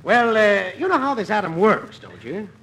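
A man playing an excitable, chummy fellow who flatters his pal, emphatic, in the style of old radio comedy. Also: high-pitched, normal speed, exaggerated voice. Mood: urgent, flattering. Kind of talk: 1940s radio comedy acting. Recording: old radio broadcast with faint noise.

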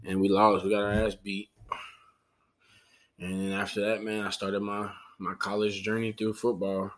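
A man's voice: lowish voice, completely flat delivery, normal speed. A young man from New Orleans with a light New Orleans Creole accent, talking casually as a podcast guest in loose, rambling sentences full of restarts and fillers. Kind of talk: casual conversation.

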